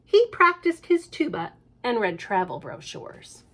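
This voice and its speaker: Role: children's librarian. Style: storytime read-aloud. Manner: expressive, chatty asides, lively lilting voice, a little high. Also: female voice, unhurried.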